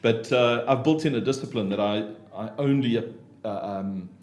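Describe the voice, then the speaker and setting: A man's voice: fairly low pitch, moderate pace, a little mellow. A man from South Africa with a South African accent, chatting as a podcast guest and often laughing and trailing off. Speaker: podcast guest, business consultant. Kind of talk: casual interview chat. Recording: echoey room with faint background noise.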